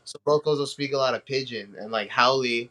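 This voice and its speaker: A man's voice: medium pitch, fast talker, pushed and bright, rough recording. A young man from Hawaii, a podcast guest talking in a laid-back, slangy way with lots of fillers. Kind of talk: casual chat.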